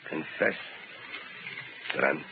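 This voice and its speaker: An actor playing a surly, cornered gangster killer with gruff tough-guy talk, in 1930s radio style. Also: slow pace, lightly acted. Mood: beaten, grudging. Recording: noisy, muffled 1930s radio recording.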